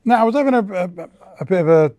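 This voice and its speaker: A man's voice: high-pitched, lively intonation, fast, warm tone, dry recording. A man interviewing a business founder, asking friendly, prompting questions in a casual chat.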